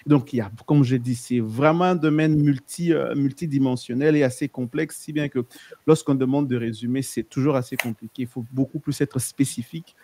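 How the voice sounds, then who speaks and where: A man speaking as a panel guest, explaining in a measured way and often stopping to restart or correct himself. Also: medium pitch, fairly even intonation, dark tone. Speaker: guest, editor of a research outlet.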